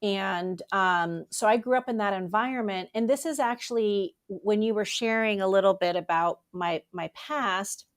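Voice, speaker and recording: A woman's voice: medium pitch, moderate pace, hardly any pauses. A middle-aged woman from Germany telling her personal story as a therapist being interviewed. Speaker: interview guest, therapist and author.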